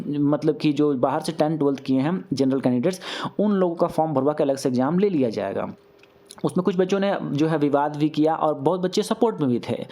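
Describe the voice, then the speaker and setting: A man's voice: on the high side, a little mellow. Man giving informal news commentary. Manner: conversational, mixes in English words. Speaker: news update channel host.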